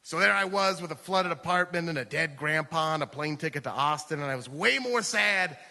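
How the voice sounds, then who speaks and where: Bright, forceful American man telling stories onstage. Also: fairly high pitch, lively intonation, fairly quick, creaky. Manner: conversational, peppered with "like" and "you know". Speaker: stand-up comedian. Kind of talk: comedic personal storytelling to a live audience. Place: theater stage at a live radio show. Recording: live stage in a reverberant hall.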